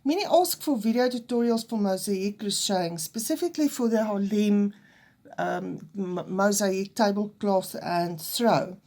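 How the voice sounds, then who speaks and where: A woman's voice: mid-range voice, unhurried, dark tone. A woman from South Africa with an Afrikaans accent, her first language, giving an unedited crochet lesson in a nervous, hesitant way. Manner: hesitant and nervous, with fillers and restarts. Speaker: crochet teacher.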